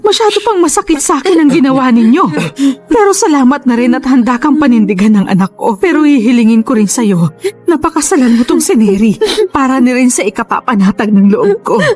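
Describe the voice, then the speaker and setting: A protective Filipino mother in a radio drama, wounded and pleading. Her high voice moves up and down freely, reproachful but conciliatory, over faint music. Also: animated, fairly quick, a little mellow. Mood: hurt, conciliatory. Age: middle-aged. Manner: emotional, reproachful yet conciliatory. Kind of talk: Tagalog radio drama acting. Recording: faint music bed behind voice.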